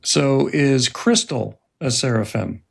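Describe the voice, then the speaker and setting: A man speaks as an interviewer in a conversational, slightly halting style. His voice sits at a middling pitch with a noticeable gravelly creak. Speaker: interviewer, host.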